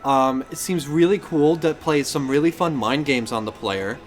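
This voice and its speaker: A man's voice: mid-range voice, moderate pace, slight background noise. A man hosting a podcast in casual gaming chat, talking in a rambling, self-correcting way. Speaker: podcast host.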